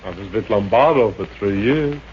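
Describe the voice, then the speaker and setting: An actor playing a weary, complaining, soft-hearted old pawnbroker in 1950s radio comedy style. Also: male voice, lowish voice, expressive, normal speed, lightly acted. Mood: grudging, weary. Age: older. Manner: weary, complaining, inverted old New York phrasing. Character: grumbling, kvetching but soft-hearted old pawnbroker grandfather. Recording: muffled, hissy old radio recording.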